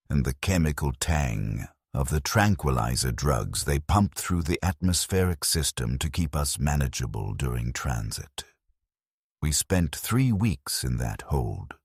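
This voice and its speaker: A man giving a solemn, measured, scripted first-person narration of a dark dramatic story. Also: rumbling low, breathy edge, no room sound, studio quality.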